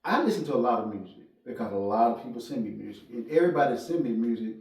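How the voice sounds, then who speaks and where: A man's voice: medium pitch, animated, very fast. A man interviewing casually as a radio host, informal and full of encouragement. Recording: roomy, echoey, distant microphone.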